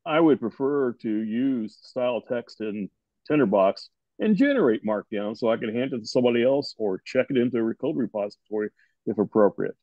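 A man's voice: mid-range voice, lively intonation, moderate pace, mellow, dry recording. Emphatic man on dull dry call audio. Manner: emphatic, interjecting. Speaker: software developer participant. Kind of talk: casual video call discussion.

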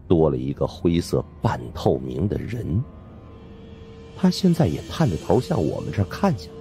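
A man's voice: rumbling low, warm tone. A man narrating a supernatural audiobook in a dramatic, suspenseful scripted style.

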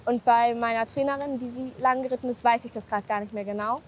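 High-voiced young-sounding female rider. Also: fairly even intonation, quick pace, a little hiss or hum. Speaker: horse owner and rider, client. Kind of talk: casual conversation. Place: outdoors at a horse yard.

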